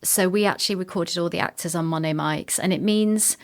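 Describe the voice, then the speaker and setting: A middle-aged woman with a fairly low voice talks casually as an interview guest. She explains her production work in a chatty, unscripted way. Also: brisk. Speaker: radio drama producer and sound designer, podcast guest.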